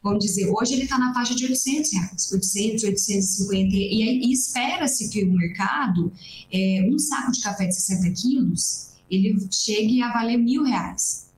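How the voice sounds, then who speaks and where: A woman's voice: medium pitch, moderate pace, slight background noise. A young Brazilian woman, a coffee farmer, earnestly explaining at length on a video call from a small room at home, with frequent restarts. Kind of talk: explanatory interview talk. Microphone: laptop webcam on a video call. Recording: roomy video-call audio.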